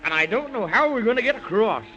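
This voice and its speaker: An actor playing a fussy, excitable, kindly Man in the Moon in an old-time radio children's serial, exclaiming in a folksy way. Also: high-pitched, fast. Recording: muffled, noisy old radio broadcast recording.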